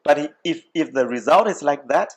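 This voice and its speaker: Male talk show host, slightly high voice, emphatic and repetitive, casual opinionated delivery. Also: animated, brisk.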